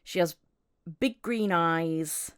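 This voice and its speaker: A woman hosting a casual interview podcast, chatty and giggly, with a halting way of putting her questions. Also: on the low side, clear, resonant tone.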